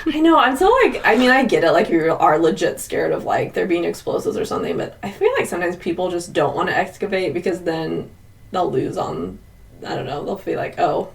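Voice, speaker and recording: A woman's voice: lowish voice, lively intonation, fairly quick, vocal fry. A woman co-hosting a paranormal podcast, chatting and telling stories casually in a rambling way peppered with filler "like". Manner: rambling, heavy use of "like". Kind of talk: casual chat and storytelling. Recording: distant mic with background noise.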